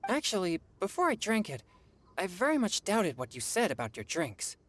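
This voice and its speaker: A woman playing an earnest, polite and excitable teenage boy exorcist in a video game, with an expressive, sometimes hesitant delivery. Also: mid-range voice, moderate pace, a little hiss or hum. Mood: sheepish.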